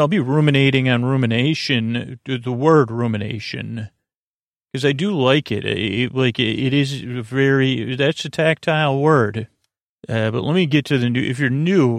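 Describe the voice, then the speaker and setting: A man with a creaky voice hosting a sleep podcast in a soothing, meandering monologue full of halting starts and tangents. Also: medium pitch, no room sound, studio quality. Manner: halting, digressive, many false starts and filler words, soothing.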